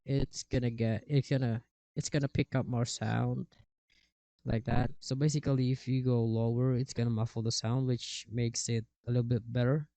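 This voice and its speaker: Flat-toned man explaining software, halting. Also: mid-range voice, dry recording. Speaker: tutorial presenter. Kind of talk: casual screen-recorded software tutorial. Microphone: cheap microphone with software processing.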